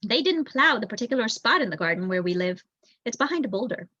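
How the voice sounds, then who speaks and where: Woman as kindly storybook mother mouse, careful, reassuring, measured, bright tone, dry lo-fi sound. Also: a little high, lively intonation, no echo. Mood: matter-of-fact.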